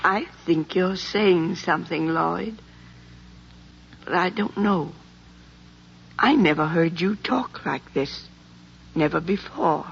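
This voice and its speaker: An actress playing a stubborn, troubled older farm mother with an American accent, halting and wistful, in the style of 1950s radio drama. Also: lowish voice, gravelly, slight background noise. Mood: troubled, bewildered. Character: stubborn, troubled old farm mother clinging to her house. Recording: muffled 1950s radio recording, music.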